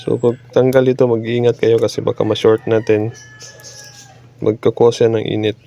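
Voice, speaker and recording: A man with a Filipino accent narrating a hands-on repair tutorial casually and haltingly as he works, mixing in English technical terms. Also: fairly low pitch, little pitch movement, gravelly, warm tone. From Philippines. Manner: halting, talking while working, mixing Tagalog with English terms. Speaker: repair technician demonstrating a fix. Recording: dull lo-fi audio, faint background noise.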